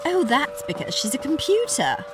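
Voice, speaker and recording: A woman playing a naive, snobbish high-society lady, gushing and theatrical, in a comic sci-fi audio drama. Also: wildly swooping pitch, normal speed, background noise. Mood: naive, certain.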